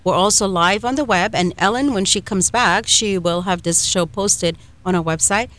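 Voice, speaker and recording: A woman's voice: mid-range voice, normal speed, fairly bright tone. A woman with a Trinidadian accent hosting a radio show in a chatty, effusive, conversational way.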